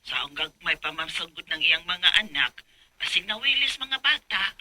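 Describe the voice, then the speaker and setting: A woman's voice: lowish voice, very animated, moderate pace, background noise. An actress playing a placating, resigned mother of grown daughters in a radio drama. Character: middle-aged or older mother, placating and resigned. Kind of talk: Filipino radio drama acting.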